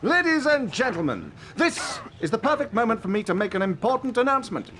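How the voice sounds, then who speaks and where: An actor playing a vain, boastful wizard professor in a video game, with a pompous theatrical manner. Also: male voice, very high-pitched, very animated, brisk, a bit bright, slight background noise, exaggerated voice. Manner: pompous, theatrical, self-admiring.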